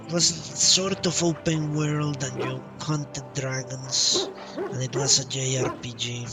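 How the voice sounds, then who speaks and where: A man livestreaming a game playthrough, chatting casually with viewers in a halting, opinionated way. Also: mid-range voice, steady tone. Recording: distant mic, game music behind.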